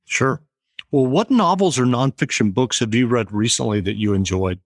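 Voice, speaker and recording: Male podcast host, mid pitch, dry close sound, brief friendly questions. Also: normal speed, no echo. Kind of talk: casual interview questions.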